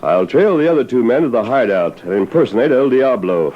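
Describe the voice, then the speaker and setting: A man's voice: mid-range voice, lively intonation, fairly quick, close to an everyday voice. Male actor as commanding masked cowboy hero, decisive and declamatory, classic western radio serial, muffled recording with light noise. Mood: resolute planning. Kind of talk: 1940s-style western radio drama acting. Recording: dull, noisy old radio recording.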